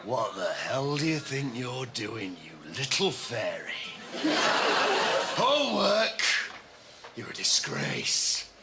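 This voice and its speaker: A comedian playing an angry father who scolds his son and then coaches him to dance, shouting, then coaxing in a camp way. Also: medium pitch, wildly swooping pitch, slow, very noisy, voice pushed down, full character voice. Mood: furious. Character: gruff angry dad turned flamboyant dance coach. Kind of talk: stand-up sketch voice.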